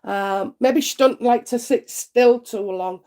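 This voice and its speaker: Bunged-up lady doing live psychic readings, chatty, lots of uhs, warm mellow voice. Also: a little high, slow pace. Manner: chatty and homely, many "uh" fillers, asks "isn't it?". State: congested head cold, thick throat. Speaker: psychic reader hosting a live stream. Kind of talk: live-stream chat, reading viewer comments.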